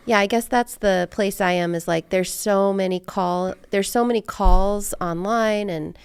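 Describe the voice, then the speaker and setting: A woman's voice: medium pitch, gravelly, smooth, no room sound, clean studio sound. An American woman hosting a conversation, talking casually and reflectively as she rambles and thinks aloud. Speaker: podcast host.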